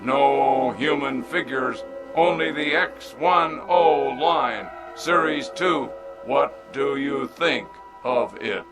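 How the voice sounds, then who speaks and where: A man plays a male machine boss in a radio drama, cool and sure of himself. The delivery is slow, formal and precise, over clearly audible music. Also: mid-range voice, close to an everyday voice, rough recording. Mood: coolly pleased. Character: machine executive, cool, self-assured male robot boss.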